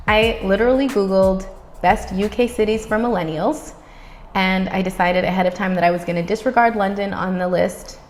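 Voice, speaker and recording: A woman's voice: mid-range voice, fairly even intonation, normal speed, faint music behind. A woman in her thirties from the Bahamas with a neutral, American-sounding accent, chatting upbeat to camera as a vlogger. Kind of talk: casual talk to camera. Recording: reverberant room sound.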